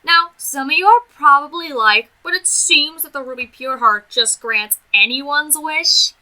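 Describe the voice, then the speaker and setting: A woman with a high, very bright voice delivers a scripted review. She speaks casually and emphatically, gushing with enthusiasm like an eager fan. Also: measured pace, rough recording. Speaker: video reviewer.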